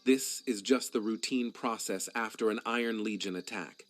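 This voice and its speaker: A man's voice: fairly low pitch, moderate pace, fairly bright tone, soft background music, close to an everyday voice. A man playing a dutiful, uneasy soldier in a dramatic video game cutscene. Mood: reassuring, uneasy.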